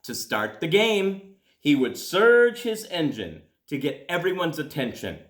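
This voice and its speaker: A man reading a children's storybook aloud in a deliberate, expressive way with dramatic pauses. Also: a little high. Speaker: school principal reading aloud. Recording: echoey room.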